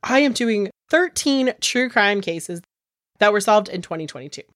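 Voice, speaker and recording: Congested woman podcaster, chatty and rambling, mid pitch, dry close recording. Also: animated, moderate pace, a bit bright, no room sound. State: congested from a cold. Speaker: podcast co-host. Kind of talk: casual chat.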